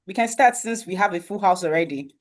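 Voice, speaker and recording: A woman's voice: medium pitch, expressive, fairly quick. A woman hosts an online webinar over a video call. She speaks in a welcoming, repetitive way, often checking that listeners follow along. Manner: welcoming, repeats "you understand" often. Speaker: webinar host.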